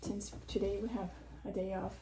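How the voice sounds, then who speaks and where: Woman in her 60s, mellow voice, gentle halting interviewer, faint hiss behind. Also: medium pitch, flat delivery. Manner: hesitant, many ums. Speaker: interviewer, vlogger. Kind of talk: casual home interview.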